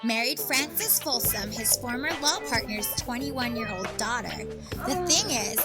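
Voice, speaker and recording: A woman playing an earnest, highly educated porn star presenting history in a scripted comedy sketch. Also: animated, unhurried. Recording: loud music under the voice.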